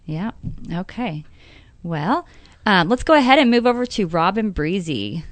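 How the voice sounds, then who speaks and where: A woman's voice: mid-range voice, sing-song, a little slow, dry recording. A woman hosting a casual radio talk show, chatty, laughing often and using many fillers.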